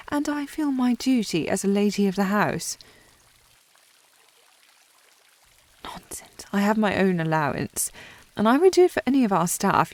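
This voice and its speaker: Casting: actress as prim, snooty young lady of the manor, British accent, fry-edged voice, coy and prickly, intimate period ASMR roleplay. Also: medium pitch. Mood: haughty, insistent. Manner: prim, snooty, formal phrasing with coy indirectness. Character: haughty, flustered young noble lady of the house, coy. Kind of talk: intimate ASMR historical romance audio roleplay.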